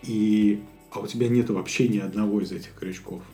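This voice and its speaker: A man from Russia co-hosting a podcast, talking in a thoughtful, halting way with many fillers. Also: on the low side, steady tone, mellow, soft background music. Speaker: podcast co-host. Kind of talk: casual conversation.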